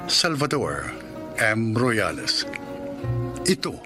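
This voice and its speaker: Lively male radio drama announcer. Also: fairly low pitch, extremely slow. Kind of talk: formal scripted narration. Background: music.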